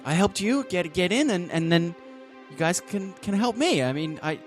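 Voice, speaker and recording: Man as nervous female tabletop character, eager, hesitant, stammering, raised pitch, music behind. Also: lively intonation, moderate pace. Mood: anxious pleading. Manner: hesitant, stammering, repeating words. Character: nervous, eager young woman pleading to join the crew.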